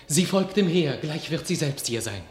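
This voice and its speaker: A man's voice: a little high, lively intonation, moderate pace, faint music behind, close to an everyday voice. Knight messenger for a radio play, awed storytelling, roomy studio. Male actor. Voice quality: vivid, narrating, awed. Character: knight telling of a miracle in battle. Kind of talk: classic radio play acting.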